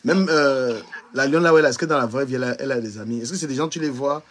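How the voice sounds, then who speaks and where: A man's voice: medium pitch, very fast, fairly bright tone, a little hiss or hum. A man commenting bluntly and mockingly on online gossip during a live stream.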